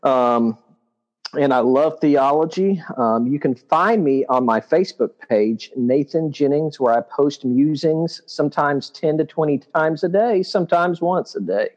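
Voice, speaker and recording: A man's voice: a little high, animated, measured pace, a little mellow, dull audio. A man from Texas, a podcast guest, talking casually and hesitantly with many ums. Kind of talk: casual chat.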